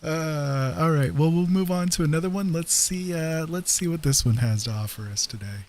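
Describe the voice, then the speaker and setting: A man's voice: on the high side, moderate pace, darkish tone, a little hiss or hum. A man hosting a livestream in a casual, chatty style. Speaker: stream host.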